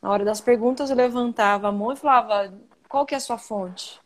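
A Brazilian woman being interviewed on a live video call, telling stories in an animated, outspoken way. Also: on the high side, a little fast. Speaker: guest, coffee journalist and podcaster. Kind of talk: casual interview chat.

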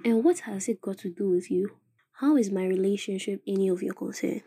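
A woman acting a sharp-tongued young woman in love in an audio play, with a Ghanaian accent. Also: mid-range voice, a little fast, vocal fry, breathy edge, no room sound, clean studio sound. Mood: irritated. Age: young adult. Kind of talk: dramatic dialogue in an audio play.

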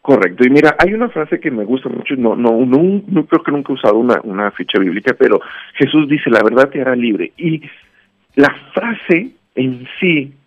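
A man's voice: mid-range voice, animated, normal speed. Didactic Mexican man, dull lo-fi sound. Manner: didactic, emphatic, uses rhetorical questions. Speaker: guest commentator on a radio segment. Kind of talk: conversational motivational talk with anecdotes.

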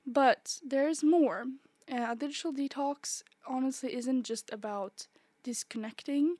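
A woman's voice: sits high, measured pace, dark tone, dry recording. A woman hosting a solo podcast, talking casually and rambling with fillers, her nose stuffy from a cold. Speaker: podcast host.

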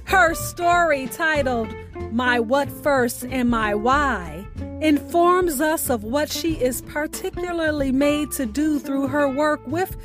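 High-voiced woman hosting over guitar music. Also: takes it slowly. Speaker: radio show host. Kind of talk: presenting a featured guest, scripted outro.

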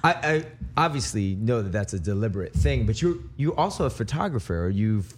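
A man hosting a radio interview in a studio, upbeat and chatty with quick restarts. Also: on the low side, very animated, husky.

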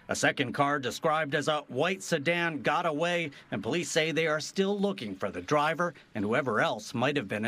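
A man reporting for TV news in a measured, scripted delivery. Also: on the high side, a bit bright.

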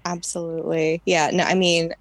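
Woman podcast editor, bit low with gravelly fry, dry close sound, casual and giggly. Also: level tone, moderate pace, no room sound. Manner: chuckles often while talking. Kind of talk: casual chat.

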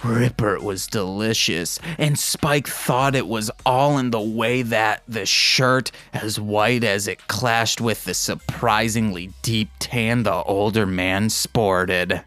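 A man's voice: fairly low pitch, takes it slowly, hardly any pauses, fairly bright tone. A man hosting a comedic podcast, reading fan fiction in a mock-sultry way and breaking off into self-mocking asides. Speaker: podcast host.

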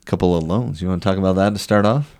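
Deep-voiced male co-host, laid-back banter, quick with a joke. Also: a little mellow. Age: adult, married. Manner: joking, tells stories with quoted voices. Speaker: podcast co-host. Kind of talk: casual chat.

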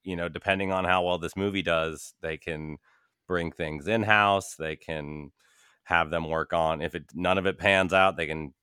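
A man's voice: low voice, fairly even intonation, moderate pace, pushed and bright, clean tone, no room sound, studio quality. A man chatting casually on a podcast about movies, speaking in an opinionated way.

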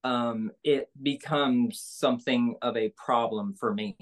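A woman singer with a low speaking voice, talking candidly and loosely with many fillers in an interview. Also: takes it slowly. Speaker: singer-songwriter guest. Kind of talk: casual interview conversation.

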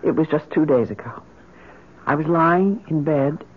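A haunted American mother in a vintage radio drama. Her husky voice is intense and commanding, full of grief and fixation. The sound is muffled and old. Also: low-pitched, unhurried. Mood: haunted, insistent. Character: grieving, obsessed mother, insistent and haunted.

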